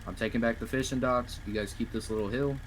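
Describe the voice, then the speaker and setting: A man's voice: mid-range voice, steady tone, fast talker. A man negotiating casually in an online roleplay game, blunt and assertive. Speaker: roleplay gamer, faction negotiator. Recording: noisy game voice chat.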